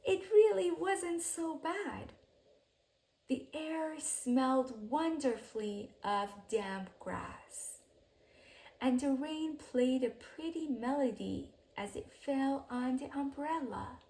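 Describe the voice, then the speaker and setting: A woman with a fairly high voice reads a bedtime story for children. She takes it very slowly, in measured phrases. Her delivery is expressive, and her sentences tend to settle into soft, hushed endings. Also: slight background noise. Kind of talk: expressive read-aloud bedtime story narration.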